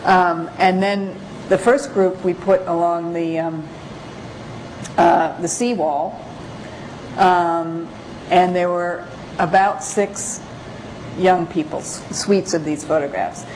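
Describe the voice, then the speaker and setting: Low-voiced woman lecturer, halting delivery. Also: steady tone, darkish tone, slight background noise, poor audio. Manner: halting, hesitant, pausing often. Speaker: photographer giving a lecture. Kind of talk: informal slide lecture with read-out excerpts.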